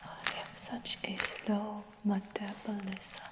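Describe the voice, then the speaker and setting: A woman whispering a fantasy roleplay for ASMR in a soft, coaxing way, repeating words slowly. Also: mid-range voice, completely flat delivery. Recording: roomy with long reverb.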